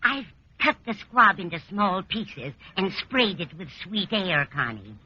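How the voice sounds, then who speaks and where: An actress playing a practical, conspiratorial woman cook with a rough, husky voice in an old radio sitcom. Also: mid-range voice, very animated, measured pace, faint music behind. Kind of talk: 1940s-50s radio sitcom acting. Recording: muffled old radio recording.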